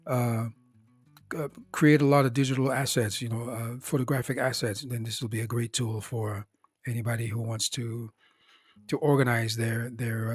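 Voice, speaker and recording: A slightly hoarse man casually running through his startup projects, speaking in a halting way with many fillers and restarts. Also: medium pitch, no room sound. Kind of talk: casual spoken rundown of projects.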